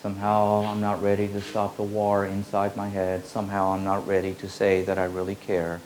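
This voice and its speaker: A man with a very low voice gives a spiritual teaching, repeating his phrases slowly like an incantation. His pitch barely moves. A faint hiss from an old tape sits under the voice. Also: completely flat delivery, a little mellow, low-quality recording. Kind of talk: slow meditative spiritual teaching.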